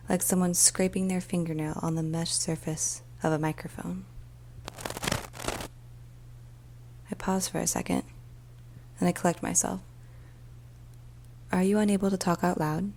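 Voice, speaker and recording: A woman voicing a weary, troubled police emergency dispatcher in a soft, often whispered ASMR storytelling monologue, speaking slowly and deliberately. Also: on the low side, creaky. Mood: hushed, tense, then calm, reassuring. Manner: slow, hushed, deliberate, with pauses. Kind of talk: ASMR storytelling, first-person dramatic monologue.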